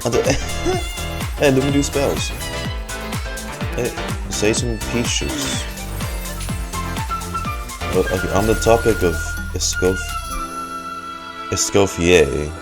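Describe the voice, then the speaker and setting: A man's voice: on the low side, slow, long pauses, over music. A man in his twenties giving casual streamer reactions to a game, rambling and slangy with some swearing. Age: 20s.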